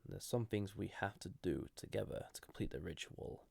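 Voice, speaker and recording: A man playing a banished ancient villain in an audio roleplay, flirty and wistful, speaking softly and deliberately in a husky, breathy voice. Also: low-pitched, dry recording, exaggerated voice. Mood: measured, resigned. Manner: hesitant, softly intimate, deliberate. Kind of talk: scripted audio roleplay addressed to listener.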